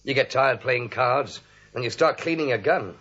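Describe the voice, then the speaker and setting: A man's voice: mid-range voice, normal speed, fairly bright tone, breathy edge. A man playing a genial, talkative American police officer in a 1940s radio play. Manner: chatty, colloquial. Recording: dull old radio recording.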